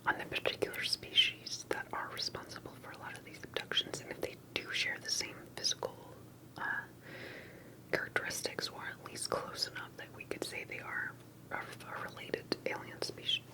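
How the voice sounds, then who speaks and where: Asmr lady doing an interview roleplay, all breathy whispering, careful and soft. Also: low-quality recording. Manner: soft-spoken, deliberate, questioning.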